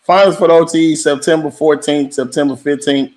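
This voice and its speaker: A man's voice: fairly high pitch, fairly even intonation, fairly quick, darkish tone. A man appearing as a guest on a casual talk show, laid-back and teasing. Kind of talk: casual chat.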